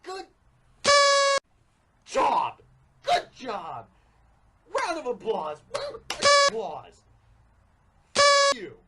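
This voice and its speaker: A woman's voice: a little high, huge pitch swings, extremely slow, creaky, pauses often. A woman delivering a theatrical comic rant in a melodramatic, exasperated and emphatic way. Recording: very noisy recording.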